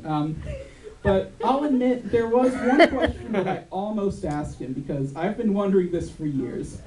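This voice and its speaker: A young man doing stand-up on a club stage, building a joke with slow, deliberate deadpan delivery. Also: sits high. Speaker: stand-up comedian. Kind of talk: stand-up routine. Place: dark club stage before a live audience. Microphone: handheld mic on a stand. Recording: echoey room, mic from far off.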